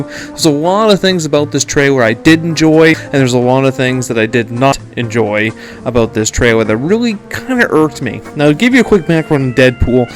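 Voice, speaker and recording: A man with a fairly high voice hosts a casual solo podcast, with some music around him. He is from Canada and talks in an informal, rambling way about pop culture. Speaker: podcast host.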